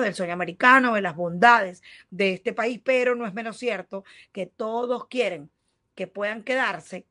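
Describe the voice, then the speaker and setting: A woman's voice: mid-range voice, a bit bright. Woman hosting a news interview. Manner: conversational, advisory, addresses viewers directly. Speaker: news show host. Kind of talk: news interview and advice segment.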